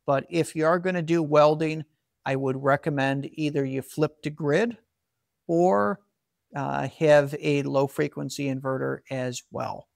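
A man's voice: on the high side, steady tone, a little slow, creaky, dry recording. A man in his forties hosting a solar equipment channel, explaining his setup in a plainspoken, practical way from an indoor garage workshop. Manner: plainspoken, practical, with frequent fillers and restarts. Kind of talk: casual explainer, talking to camera.

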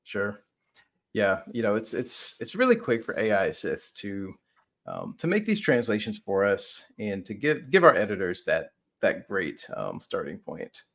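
A man's voice: fairly low pitch, very animated, unhurried, vocal fry, a bit bright. A man in his thirties explaining a product on a video call as a conversational co-presenter. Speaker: software demo co-presenter.

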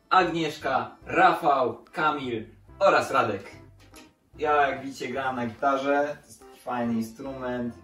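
A man's voice: mid-range voice, fairly bright tone. Young man in an echoey room. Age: young adult. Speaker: guitarist introducing a music group. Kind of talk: casual introduction for children. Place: furnished living room. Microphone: camera across the room. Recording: echoey living room, distant microphone.

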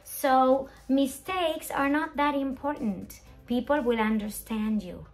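High voiced lady teacher doing a grammar lesson, slow n emphatic, bit of faint music behind. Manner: expressive, emphatic, slow deliberate enunciation with rhetorical questions. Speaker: English teacher presenting a video lesson. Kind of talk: animated scripted grammar lesson. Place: small indoor room at a whiteboard.

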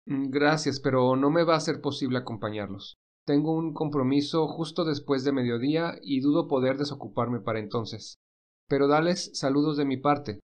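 Guy playing a gentle young man in a romance audio drama, kind, middle pitch. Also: moderate pace, natural voice. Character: kind young man, teasing, hiding his nerves.

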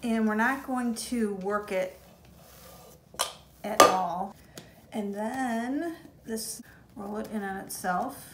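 A woman's voice: medium pitch, slow, long pauses, roomy sound, background noise. A woman hosting a home baking video, chatting to viewers as she shows each step in her kitchen. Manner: chatty and encouraging, talks to viewers as "you guys". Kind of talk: casual step-by-step baking demo.

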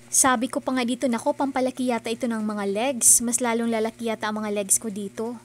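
A woman's voice: fairly high pitch, steady tone, quick pace, a little mellow, slight background noise. A middle-aged woman, narrating her own vlog in a chatty, earnest, encouraging voice-over. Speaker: vlogger.

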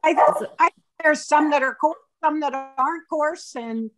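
A woman's voice: high-pitched, a little slow, very noisy. A woman on a video call panel giving matter-of-fact opinions on breeding, with some hesitant restarts.

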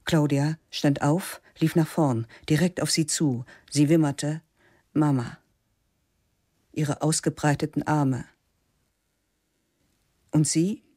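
Slow, husky woman narrating. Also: low-pitched, steady tone, dark tone, no echo, clean studio sound. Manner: slow, measured, spare delivery, often dropping to a whisper. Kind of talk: scripted literary narration for a radio play.

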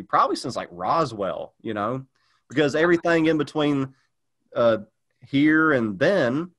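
Guy rambling on a podcast, mid pitch, lots of likes and restarts. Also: a bit bright. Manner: rambling, filler-heavy, restarts. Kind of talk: casual chat.

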